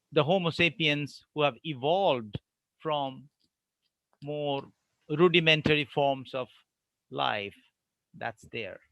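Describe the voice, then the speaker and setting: A man giving an online academic lecture, explaining with deliberate pauses between phrases. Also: on the high side, pushed and bright, no room sound, poor audio.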